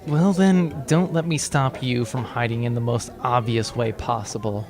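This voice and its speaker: A man playing a composed, secretive space rogue in a sci-fi audio drama, dry and courteous in a measured way. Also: medium pitch, steady tone, darkish tone, lightly acted. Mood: dry, probing. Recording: voice over background music.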